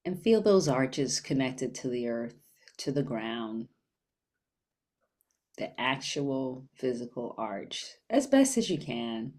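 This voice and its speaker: A woman leading a guided meditation in a gentle, unhurried manner with long pauses. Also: a bass voice, very animated.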